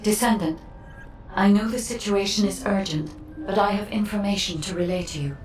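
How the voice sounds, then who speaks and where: A woman's voice: medium pitch, moderate pace, a little mellow, reverberant, recorded from a distance, soft background music, close to an everyday voice, rough recording. A woman playing an earnest, analytical scientific expert in a sci-fi game, speaking precisely and formally. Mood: earnest, intent. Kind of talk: scripted game dialogue.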